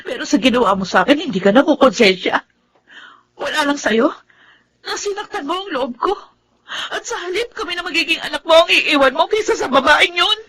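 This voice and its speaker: An actress playing a hurt, indignant and defiant pregnant wife in a Tagalog radio drama, emotional and confrontational. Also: female voice, sits high, animated, fairly quick, a bit bright. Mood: tearful, indignant.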